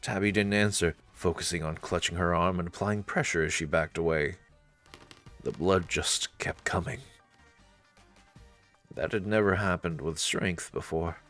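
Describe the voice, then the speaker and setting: A man's voice: deep voice, measured pace, a bit bright, soft background music. A man narrating a visual novel as a dramatic story, reading aloud for a let's play.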